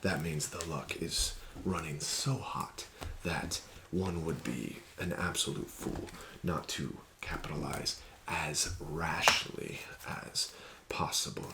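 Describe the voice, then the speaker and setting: A man hosting an ASMR roleplay as a casino dealer, soft-spoken and often whispering, smooth and gently coaxing. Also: extremely low pitch, takes it slowly, very noisy.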